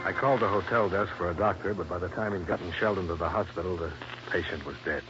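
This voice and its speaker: An actor playing a tough, dry American insurance investigator in hard-boiled old-time radio style. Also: male voice, sits low, fast, loud music behind the voice, natural voice. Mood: grim, matter-of-fact. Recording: muffled, hissy old radio recording.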